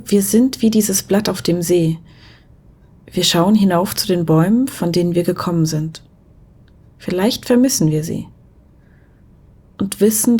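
A woman acting a tender, thoughtful character in an intimate audio spy drama, speaking softly and gently probing. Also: lowish voice, husky.

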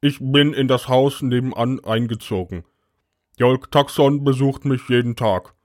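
A man playing an alien agent who files a logbook report in a matter-of-fact, deadpan manner. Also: medium pitch, measured pace, no room sound, studio quality, natural voice. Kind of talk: scripted narration, audio logbook.

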